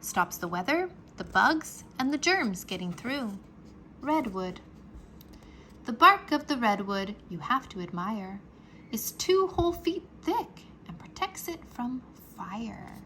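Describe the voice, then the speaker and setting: A woman reading a children's book aloud like a teacher, expressive and carefully enunciated for young listeners. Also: on the high side, slow, a little hiss or hum, rough recording. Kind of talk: children's read-aloud narration, rhyming nonfiction.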